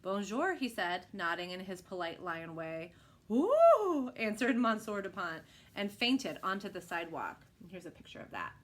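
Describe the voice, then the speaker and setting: A woman reading a children's picture book aloud with expressive narration. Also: lowish voice, moderate pace, hardly any pauses.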